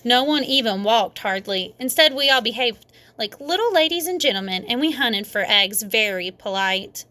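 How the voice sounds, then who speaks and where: A woman reading a children's book aloud in an expressive, emphatic manner. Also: a little high. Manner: animated, emphatic delivery with dramatic slowing. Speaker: storybook reader.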